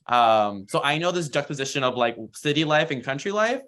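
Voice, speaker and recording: A man's voice: on the high side, animated, brisk, a bit bright. An American man speaking informally on a workshop panel, with an earnest, encouraging manner. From United States, Florida and rural Texas. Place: online video call.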